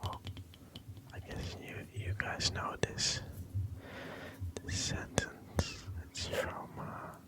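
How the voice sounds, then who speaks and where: ASMR creator, fully whispered and breathy, unhurried delivery with gentle pauses and repetitions. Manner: soft, unhurried, repeats phrases, hesitant pauses.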